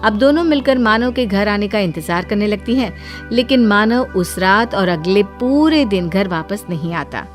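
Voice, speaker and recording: A woman's voice: mid-range voice, lively intonation, moderate pace. A woman narrating a scripted story as a storyteller. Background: background music.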